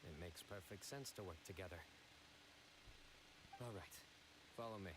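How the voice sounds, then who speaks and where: A man playing a composed, dry-humored investigator in a game, speaking in a measured, deadpan manner. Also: low-pitched, heavy background noise, close to an everyday voice.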